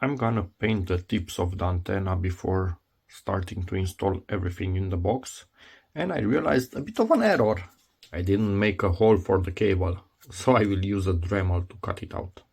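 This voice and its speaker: A man's voice: deep voice, normal speed, creaky, a little mellow, breathy edge. A man hosting a casual DIY vlog, thinking aloud and repeating himself for emphasis. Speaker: DIY video host. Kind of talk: casual unscripted workshop vlog commentary.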